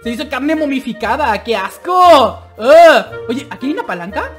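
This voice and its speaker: A man's voice: very high-pitched, big pitch swings, normal speed. A young man, playfully acting out a comedic Minecraft roleplay and teasing his partner. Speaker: gaming roleplay creator. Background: background music.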